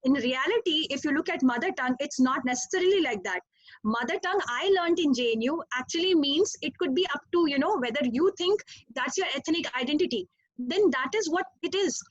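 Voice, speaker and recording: A woman's voice: sits high, animated, a little fast, dry recording, dull audio. A woman language teacher, talking earnestly on a panel, often adding "you know" and "all right?". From Dubai. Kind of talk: panel discussion.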